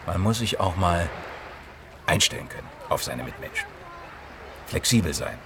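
A man's voice: sits low, big pitch swings, moderate pace, noisy background. An actor playing a defensive, patronising disc jockey in his thirties in radio drama dialogue, justifying himself. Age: 30s. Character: defensive, touchy mobile DJ in his mid-thirties.

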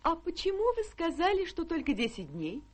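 An actress in a radio play voicing a doting, excitable middle-aged mother with a gushing manner. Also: very high-pitched, normal speed, full character voice. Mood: kindly. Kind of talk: theatrical radio play acting.

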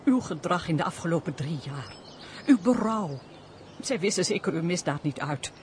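A woman acting a lively, compassionate countess in a Dutch radio play, with formal, expressive, theatrical speech. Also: fairly low pitch, normal speed, close to an everyday voice. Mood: shocked, compassionate. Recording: noisy old radio play recording.

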